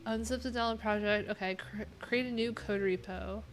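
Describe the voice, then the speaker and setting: A woman's voice: mid-range voice, fairly even intonation, normal speed, a little hiss or hum. A woman in her thirties with an American accent, chatting casually as a livestream host in a rambling, wry, informal way. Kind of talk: casual livestream chat while reading docs. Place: small cluttered room indoors. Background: background music.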